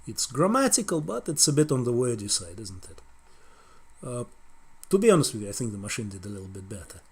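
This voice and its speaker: A man's voice: mid-range voice, big pitch swings, a little fast. A middle-aged man with a Russian accent giving a wry, conversational lecture on translation, often ending points with tag questions. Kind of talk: explanatory video lecture.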